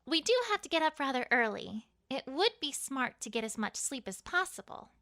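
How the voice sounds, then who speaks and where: A woman's voice: high-pitched, lively intonation, moderate pace, sharp tone, full character voice. A woman voicing a sensible, slightly prim young unicorn pony in a matter-of-fact manner.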